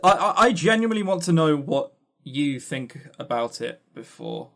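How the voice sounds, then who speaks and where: A man talks casually as a podcast co-host with strongly varied intonation. He is blunt, scornful and quick to swear, stammering as he drives his opinions home. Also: fairly high pitch, big pitch swings, fairly bright tone. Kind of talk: casual opinionated game review chat.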